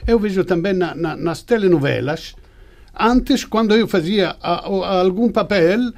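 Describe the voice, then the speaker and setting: High, creaky-voiced man with Italian accent. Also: measured pace. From Italy. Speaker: panel discussion participant, actor. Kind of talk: spontaneous debate.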